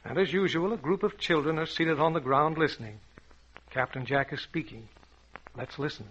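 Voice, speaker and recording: A solemn old-time radio announcer sets the scene in scripted narration. The recording is dull and lo-fi. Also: male voice, on the high side, moderate pace, a bit bright, husky. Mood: measured introduction.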